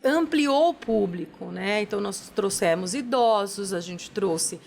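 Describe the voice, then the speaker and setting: A woman's voice: medium pitch, animated, measured pace, creaky, a little mellow, slight background noise. A woman, a charity's executive director, talking at length as a guest in a TV studio interview, tagging her sentences with questions. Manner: enthusiastic, explains at length with many "né?" tags. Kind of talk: casual interview talk.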